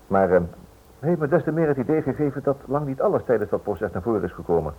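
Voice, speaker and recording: Quick, husky stubborn writer, tinny recording. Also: male voice, mid-range voice, expressive. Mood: evasive. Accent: Dutch. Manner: hesitant pauses, probing, ironic. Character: stubborn, suspicious male writer, wry and defiant. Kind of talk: radio play acting. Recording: thin phone-like old radio recording.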